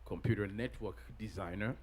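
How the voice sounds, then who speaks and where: A middle-aged man from Ghana answers an interviewer's questions. His voice sits a little low, and he speaks in a halting way, with frequent pauses and restarts. Faint background noise runs under the recording. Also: a little mellow. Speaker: pastor and guest.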